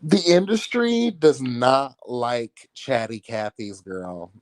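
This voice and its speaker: A slow-talking man hosts a casual gossip commentary in a very dry, close recording. His pitch sits in the middle for a man. He is sassy and catty, putting things bluntly in slangy, conversational language. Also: expressive, dry recording. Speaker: livestream commentary host.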